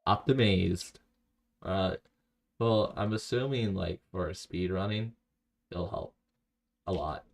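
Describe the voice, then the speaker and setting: A man streaming a video game, talking to himself in a rambling, low-key way and reading chat aloud. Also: slow pace, vocal fry, pauses often. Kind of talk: casual live-stream commentary while playing.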